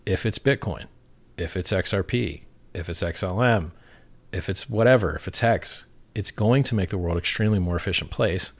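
A man's voice: sits low, normal speed. A man giving an opinionated, casual explanation, blunt and emphatic, repeating himself and swearing freely.

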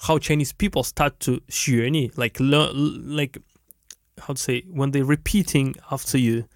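A man's voice: medium pitch, steady tone, measured pace, no echo, clean studio sound. A man with a Russian accent in casual chat, laughing often and searching for words.

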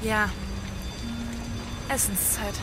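A woman's voice: mid-range voice, fairly even intonation, drawn-out words, over loud music. A woman playing a plucky, teasing young student in a German zombie audio drama. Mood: determined. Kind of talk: German audio drama acting of a video game.

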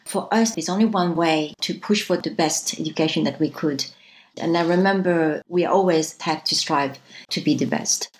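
Low-voiced woman in her fifties. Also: moderate pace. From France. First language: French. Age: 50s. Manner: starts many sentences with "so", earnest and reflective. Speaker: guest. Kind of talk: reflective personal storytelling.

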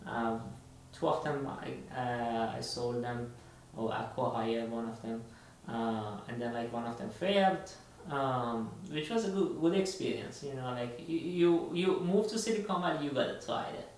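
A man's voice: medium pitch, a little slow, a little hiss or hum. A man from Iran, co-hosting a casual podcast chat and talking hesitantly with many fillers and restarts. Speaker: podcast co-host. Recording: very echoey room, distant mic.

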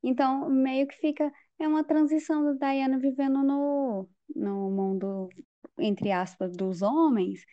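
A woman's voice: high-pitched, fairly even intonation, measured pace, lo-fi sound. A woman in a casual film chat, adding short agreeable remarks.

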